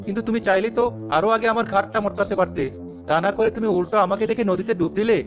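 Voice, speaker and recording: Man voicing a high-pitched, quick-witted fisherman. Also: steady tone, music in the background. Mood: persuading. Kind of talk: animated folk tale dialogue.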